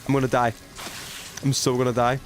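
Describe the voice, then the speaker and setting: A man's voice: medium pitch, flat delivery, fairly quick. A man in his twenties chatting casually on a gaming livestream, rambling informally and talking to himself as he plays. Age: 20s. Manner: rambling, informal, self-talking while playing. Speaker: video game streamer.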